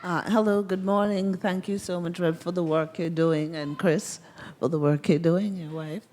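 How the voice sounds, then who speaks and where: A woman's voice: on the low side, steady tone, moderate pace, a little mellow. A woman from the congregation with a Jamaican accent, making a thoughtful comment that builds an argument.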